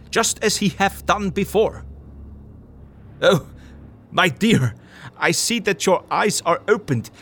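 Old professor, slow and all over the place pitch-wise, faint music under. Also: male voice, full character voice. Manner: broken English, emphatic lecturing, lively swings. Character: elderly male Dutch professor, earnest, lecturing. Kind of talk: audio drama acting.

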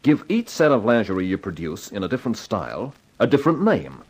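A man's voice: lowish voice, very animated, brisk, darkish tone, slightly rough, heavily acted. An actor playing a slick, cocky advertising man in a hardboiled 1950s radio drama. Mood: brash, persuasive. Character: brash, wisecracking advertising man with an eye for women. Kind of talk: 1950s radio drama acting, hardboiled first-person narration.

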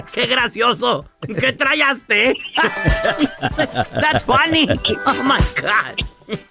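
A man's voice: very high voice, very animated, very slow, gravelly, over music, heavily acted. A man doing Don Tela, giggling stoned old prankster. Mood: giggly stoned. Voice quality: constant giggling, mixing in English phrases. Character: giggly stoned older male prank caller. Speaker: prank caller on a radio show.

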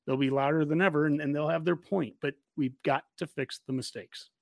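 A man's voice: on the high side, fairly even intonation, brisk, gravelly, dry recording, clean studio sound. An American man hosting a casual college football talk show, speaking emphatically and repeating points for stress. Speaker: podcast host.

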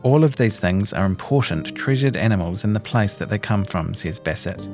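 A man with a New Zealand accent reads a scripted long-form news story in a measured, articulate storytelling delivery. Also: on the low side, lively intonation, a little mellow, soft background music. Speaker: reporter reading his own long-form article. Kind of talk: scripted narration of a feature story.

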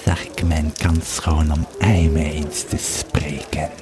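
An actor playing an old, tipsy Roman legionary who haltingly recounts his frightening tale in an audio drama, stammering and losing his thread. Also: extremely low pitch, level tone, music in the background. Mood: uneasy, recounting. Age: old man as played. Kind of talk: first-person audio drama storytelling.

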